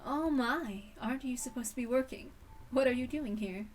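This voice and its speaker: A woman's voice: a little high, moderate pace, far from the mic, slight background noise, close to an everyday voice. A woman voicing a brash, confident, teasing mother in a story read-aloud. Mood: brisk, teasing.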